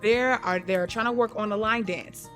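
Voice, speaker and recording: A woman's voice: mid-range voice, moderate pace, a bit bright, soft background music. An American woman co-hosting a show with casual, opinionated banter, emphatic and repeating phrases for effect. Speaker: radio show co-host.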